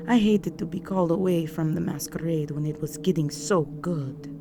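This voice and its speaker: A woman voicing an imperious, seductive vampire countess in a scripted audio roleplay, poised, commanding and softly menacing. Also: deep voice, noisy background. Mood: cool, curious.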